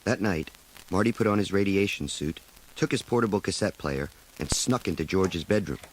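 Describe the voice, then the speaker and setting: A man's voice: sits low, animated, normal speed, slight background noise, poor audio. A man narrating a children's storybook in a scripted read-along style.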